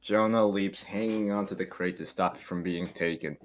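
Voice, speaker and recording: A man's voice: low-pitched, steady tone, moderate pace. A man reading stage directions as narrator in an informal amateur script reading.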